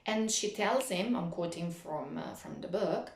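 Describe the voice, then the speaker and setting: Echoey, lower-voiced woman giving a literature lecture. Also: lively intonation, creaky, slight background noise. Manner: didactic, hesitant phrasing with frequent pauses. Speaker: literature teacher. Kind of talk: explanatory lecture on a literary text.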